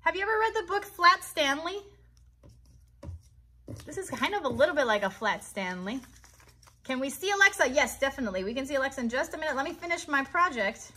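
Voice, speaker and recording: A woman's voice: high-pitched, lively intonation, brisk, bright, forceful tone. An American woman in her thirties hosting a live craft tutorial for children, chatty and encouraging as she talks directly to them. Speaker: children's craft host and puppeteer. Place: child's bedroom set indoors.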